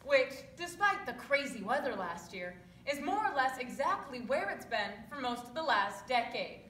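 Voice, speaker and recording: Young female stage reciter, high forceful voice, echoey distant recording. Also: big pitch swings, moderate pace. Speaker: readers theatre performer. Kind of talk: dramatic scripted recitation. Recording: echoey room, distant mic, faint noise.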